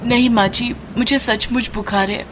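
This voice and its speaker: A woman's voice: a little high, fairly even intonation, moderate pace, fairly bright tone, pitched above the performer's own voice, natural voice, poor audio. Lady doing meek young bahu, long-suffering, polite n plaintive, story cartoon, slight noise.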